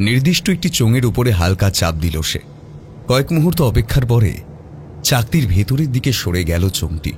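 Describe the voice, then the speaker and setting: A man's voice: mid-range voice, sing-song, brisk, slight background noise. A man narrating a horror mystery story in a dramatic, suspenseful, theatrical way. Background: prominent background music.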